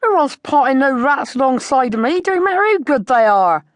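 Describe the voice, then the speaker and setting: A woman narrator plays a squat, older countryman in an audiobook story. He is gruff and hostile, cursing, and pushes his words out loudly in a dry, close recording. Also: sits high, big pitch swings, no echo, voice pushed up. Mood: hostile, forceful. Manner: gruff rustic talk, slow and hostile, cursing. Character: surly, hostile, short squat older male farmer.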